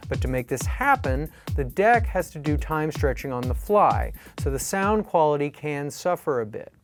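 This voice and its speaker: A man calmly explaining step-by-step techniques in a tutorial, as an instructor. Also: fairly high pitch, normal speed. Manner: calm, explanatory. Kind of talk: tutorial narration. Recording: faint music behind.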